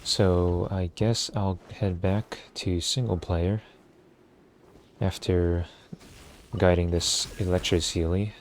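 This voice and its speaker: A man giving casual, low-key gameplay commentary, thinking aloud as he livestreams. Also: fairly even intonation, slow, a little mellow, a little hiss or hum.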